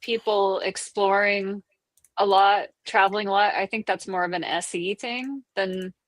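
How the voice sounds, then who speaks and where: A woman's voice: mid-range voice, fairly even intonation, unhurried, dry recording. A woman chatting in an online group call, speaking thoughtfully and hedging with many fillers. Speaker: participant in an online group chat. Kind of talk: casual chat.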